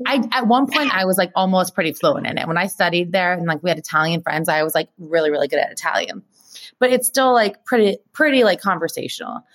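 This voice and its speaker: A woman co-hosting a podcast, chatting casually and telling stories in an animated, informal way with plenty of filler "like" and some swearing. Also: on the low side, quick pace. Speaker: podcast co-host. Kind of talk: casual chat and storytelling.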